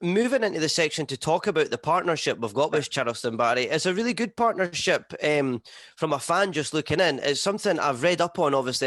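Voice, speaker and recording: A Scottish man presents a podcast and puts questions to a guest in an informal way. His voice sits at an average pitch, and the sound is dry with very little room. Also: fairly bright tone, no room sound. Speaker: podcast host. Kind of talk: scripted intro and casual interview questions.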